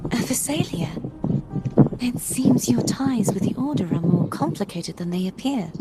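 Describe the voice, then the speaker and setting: An actress voicing a gentle, mysterious young maiden in a video game, speaking in polite, measured, formal phrases. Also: female voice, fairly high pitch, lively intonation, lightly acted, poor audio. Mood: formal, composed. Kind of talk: scripted video game voice acting. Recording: music under the voice.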